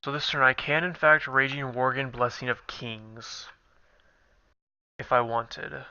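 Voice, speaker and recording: Stuffy young guy musing over his card game, bright crisp voice, dull lo-fi mic. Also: steady tone, a little slow, dry recording. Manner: thinking aloud, low-key. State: stuffy nose. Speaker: gameplay commentator. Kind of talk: casual card game commentary. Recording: dull lo-fi recording.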